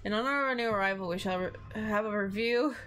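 A woman voicing a formal, stern male schoolmaster with a put-on German accent, speaking in a measured, courteous but firm way over music. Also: mid-range voice, fairly bright tone, slight background noise, lightly acted.

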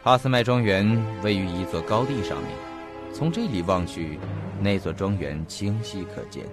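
A man acting in an audio drama as an earnest investigator, speaking in a formal, deliberate and detailed storytelling manner. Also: sits low, close to an everyday voice. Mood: grave, explanatory. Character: earnest male investigator recounting a mysterious disappearance. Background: faint background music.

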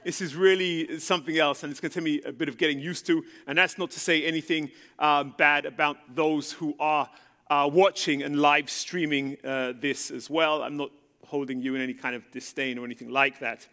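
A man's voice: on the high side, steady tone, a little fast, pushed and bright. A man from London with a London English accent, preaching as a guest speaker on a church stage in a conversational, self-deprecating way with frequent ums. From London, England. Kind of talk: sermon. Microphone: handheld microphone. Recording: long reverb in a church hall.

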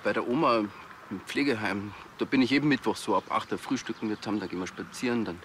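Actor as an aggrieved Bavarian villager, bright voice. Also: male voice, on the low side, quick pace, slight background noise, natural voice. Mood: subdued, earnest. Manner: colloquial, complaining. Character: aggrieved, outspoken male villager.

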